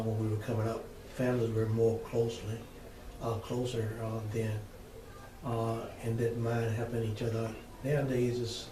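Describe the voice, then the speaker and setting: A man of seventy answering oral history interview questions in a measured way, with frequent pauses and fillers. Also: medium pitch, distant mic. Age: 70s. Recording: roomy echo, faint noise.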